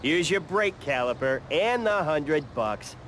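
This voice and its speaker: A man's voice: fairly high pitch, expressive, slow, a bit bright, slight background noise. A man playing a cocky biker rival in a video game, sarcastic, showy and taunting. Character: cocky, taunting male bike-racing rival.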